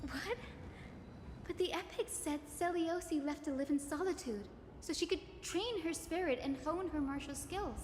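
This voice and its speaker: A woman playing a sweet, earnest young heroine in a video game, speaking in a gentle, wondering way. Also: high voice, normal speed, background noise, natural voice. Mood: shocked. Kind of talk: video game dramatic dialogue. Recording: echoing hall reverb, music behind. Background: game music.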